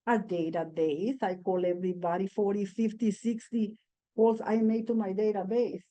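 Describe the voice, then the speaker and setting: A woman real estate agent on a panel, talking in a lively, animated way and laughing through her stories. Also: mid-range voice, moderate pace. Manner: lively and animated, laughs while telling anecdotes. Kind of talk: panel discussion.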